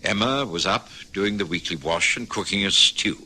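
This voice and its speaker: An actor playing a dignified British family doctor who narrates in a measured, reserved way, in a 1950s radio drama. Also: male voice, sits low, sharp tone, exaggerated voice. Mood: reflective.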